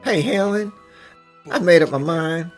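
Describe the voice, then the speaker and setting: A man playing a hardworking, softhearted middle-aged father and company boss, speaking earnestly in an animated drama fan dub. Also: fairly high pitch, a little slow, faint music behind. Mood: moved, apologetic.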